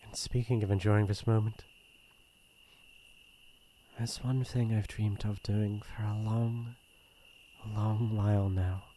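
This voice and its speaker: A man voicing a shy young prince in love, speaking softly and hesitantly with a British accent in a romantic ASMR roleplay. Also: lowish voice, little pitch movement, slight background noise. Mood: tender, wistful. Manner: soft, slow, hesitant, intimate.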